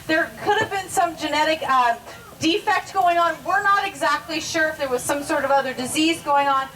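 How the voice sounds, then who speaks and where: A woman's voice: sits high, normal speed, pushed and bright, recorded from a distance, slight background noise, poor audio. A woman giving a live, informal educational animal presentation, explaining things directly to onlookers. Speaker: zoo animal show presenter.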